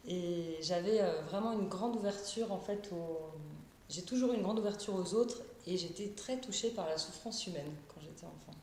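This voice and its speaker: A woman from Paris talks as a guest on a live stream, searching for her words with lots of fillers and restarts. Her voice sits a bit low. The sound carries a roomy echo over a faint background hiss. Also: moderate pace, darkish tone. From Paris, France. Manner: hesitant, many fillers and restarts, shy. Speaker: art therapist, guest. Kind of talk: live-stream interview, casual.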